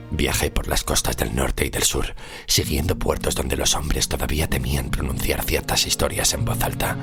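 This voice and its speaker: A haunted old-world sailor, played by a male actor, recounts his survival in an audio horror drama. His voice is rock-bottom low and heavy with vocal fry. He speaks gravely and deliberately, sinking into near-whispers over clearly audible music. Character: haunted, scarred 16th-century sailor, outcast survivor telling his story. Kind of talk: first-person narration in an audio horror drama.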